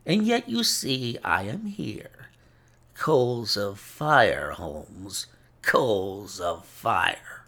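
A man's voice: on the low side, big pitch swings, measured pace, vocal fry, a bit bright, heavily acted. A man voicing a gloating, cruel villain in an audiobook story, taunting with smug mock politeness.

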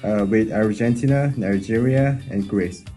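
A man's voice: lowish voice, measured pace, mellow, faint music behind. A man from South Korea, a football fan giving hesitant answers with many uhs and ums.

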